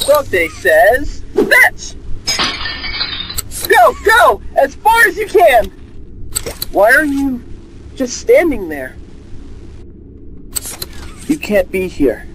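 A man's voice: very high voice, wildly swooping pitch, very slow, pauses often, background noise, full character voice. A man voicing a commanding cartoon dog mascot in a video game. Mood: playful, commanding. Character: playful cartoon dog mascot turning menacing. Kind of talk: video game character voicelines.

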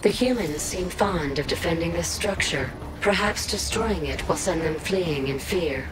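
A clinical female alien machine intelligence, acted by a woman, giving game briefings. She is formal and detached, slightly low in pitch. Very loud music plays behind her. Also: a little slow, close to an everyday voice. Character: emotionless female alien invasion computer advisor. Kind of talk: scripted game announcements and briefings.

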